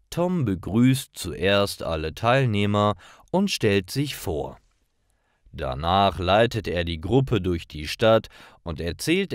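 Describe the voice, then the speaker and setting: Slow, deep-voiced male story narrator. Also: no room sound, clean studio sound. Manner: careful, clearly phrased read-aloud storytelling. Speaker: narrator of German learner stories. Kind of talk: scripted story narration for language learners.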